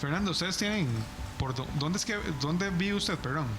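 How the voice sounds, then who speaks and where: A Costa Rican man hosting a TV talk show, interviewing guests in a fast, conversational way that corrects itself as he goes. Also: sits high, over music. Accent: Costa Rican Spanish. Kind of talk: studio interview.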